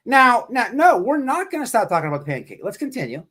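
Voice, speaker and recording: A man delivers casual livestream commentary with very lively, swinging pitch. He mocks his subject, repeats himself for emphasis, rambles and swears freely. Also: fast talker. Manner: profane, repetitive, rambling.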